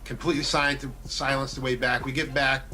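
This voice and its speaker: Animated, swearing man telling a story. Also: medium pitch, a little fast. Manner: animated, profane anecdote telling. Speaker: radio personality guest. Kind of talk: casual storytelling chat.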